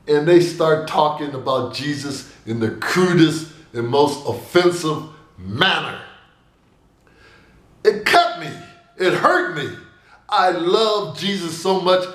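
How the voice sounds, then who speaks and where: An older man giving a personal sermon to camera, telling stories with big gestures and a booming voice, recorded in a small room indoors. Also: roomy sound. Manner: animated storytelling with big gestures.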